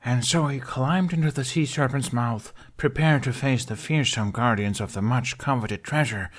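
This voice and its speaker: A man's voice: medium pitch, normal speed, pitched above the performer's own voice, natural voice. A man voicing an awkward, earnest young male misfit who tells stories with wry humour, in an audiobook. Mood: hushed, dramatic. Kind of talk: audiobook character voice.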